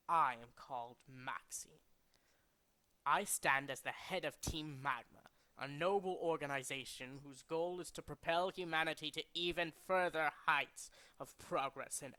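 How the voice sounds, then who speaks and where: A man's voice: mid-range voice, pushed and bright. A man voicing a condescending, cultured and fanatical villain leader from a video game, speaking in a formal, theatrical way. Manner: formal, pompous, theatrical, deliberate.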